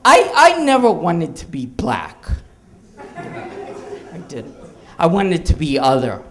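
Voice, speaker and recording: A male stand-up comedian from Hawaii telling jokes on stage in a halting way, with restarts and chuckles. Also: a little high, huge pitch swings, crowd noise.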